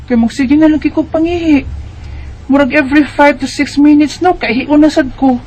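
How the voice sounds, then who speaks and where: A woman's voice: sits high, fairly even intonation, normal speed, darkish tone, natural voice, rough recording. A woman with a Bisaya Filipino accent playing a chatty, carefree schoolgirl of about twelve in a radio drama. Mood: defensive, chatty. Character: Maria, carefree schoolgirl around twelve who loves snacks. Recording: music under the voice.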